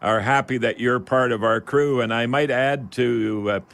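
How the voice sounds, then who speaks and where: A man hosts a live radio call-in show. His voice sits at an ordinary middle pitch. He talks in a folksy manner, speaking straight to the folks listening. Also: steady tone, moderate pace, gravelly, a bit bright.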